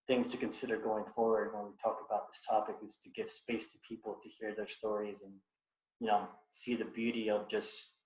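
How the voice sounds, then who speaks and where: A man from the United States speaking earnestly about his heritage on a video call, halting with many restarts. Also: lowish voice, reverberant, rough recording. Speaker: guest speaker. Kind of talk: reflective personal talk on a video call.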